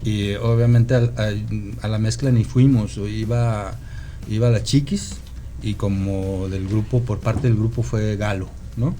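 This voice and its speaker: A man with a Guadalajara Mexican accent, a musician guest telling stories in casual conversation, halting and meandering, often seeking agreement. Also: fairly low pitch, fairly even intonation, warm tone, slight background noise. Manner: halting, meandering storyteller who seeks confirmation with "¿no?". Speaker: podcast guest, rock musician.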